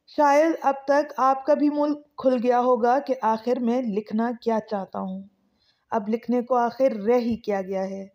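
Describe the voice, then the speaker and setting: A woman narrating a scripted story as a channel host, with an expressive, questioning delivery. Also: high-pitched.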